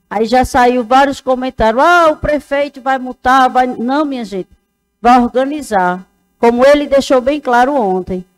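A woman's voice: a little high, a little mellow, rough recording. A woman, giving an earnest speech at a council session into a lectern microphone. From Northeast Brazil. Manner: plain earnest speech with fillers, addresses colleagues. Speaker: city councilwoman.

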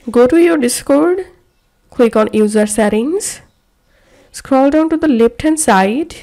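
A woman narrating a scripted software tutorial, giving step-by-step instructions. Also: high-pitched.

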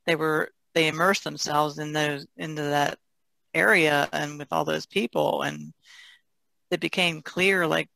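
A woman's voice: low-pitched, creaky, a bit bright, no room sound. A woman who works as an artist, talking casually as a podcast guest in a reflective, tentative way. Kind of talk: casual discussion.

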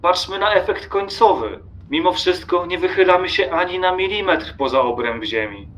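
An actor playing an irritable, contemptuous train passenger in an audio drama, lecturing obsessively and emphatically. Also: male voice, high voice, animated, normal speed, fairly bright tone, slight background noise. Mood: scornful, challenging.

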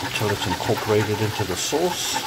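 A man's voice: lowish voice, fairly quick, vocal fry. Easygoing man narrating home cooking. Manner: relaxed, talks while cooking, frequent ums. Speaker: home cook vlogger. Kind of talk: casual cooking commentary. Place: home kitchen. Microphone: phone camera held over the stove. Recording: noisy home kitchen phone recording. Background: sizzling and bubbling pots.

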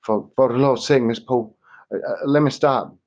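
A man's voice: on the low side, a little slow, dull audio, voice pushed up, close to an everyday voice. A man voicing a big, frightened Southern man on the run, begging in a shaky, stammering voice.

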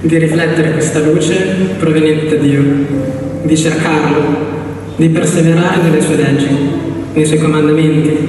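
A man delivering a sermon in an earnest, somewhat halting way, pausing and repeating phrases as he goes. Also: on the high side, darkish tone. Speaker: church preacher. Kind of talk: sermon with scripture reading. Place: large reverberant church hall. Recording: very echoey hall, distant mic, background noise.

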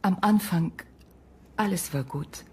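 An actress playing a sorrowful Ukrainian woman in a radio drama, speaking halting, broken German in a breathy half-whisper with an Eastern European accent. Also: fairly low pitch, darkish tone, faint music behind. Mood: wistful. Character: sorrowful Ukrainian woman recounting a lost love. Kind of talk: radio drama acting, intimate storytelling.

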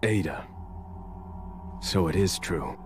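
A man's voice: sits low, takes it slowly, a little hiss or hum. An actor playing a wry, intense young male agent in a video game cutscene, terse and earnest. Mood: intense, questioning. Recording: music and effects behind.

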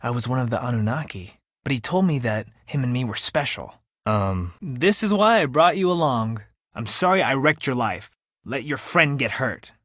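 Young male game character: eccentric, earnest, blurting, mid-pitched with very lively intonation. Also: moderate pace, dry recording, clean studio sound. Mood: hurt, regretful. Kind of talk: video game voice acting.

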